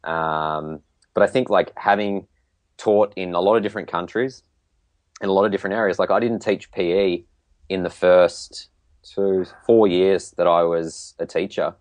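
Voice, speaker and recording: Aussie guy with a low voice, kinda hesitant and thoughtful, chatting in an interview, very dry sound. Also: fairly even intonation, normal speed, dry recording. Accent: Australian. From Tasmania, Australia. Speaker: podcast guest, PE teacher. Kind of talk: casual interview chat.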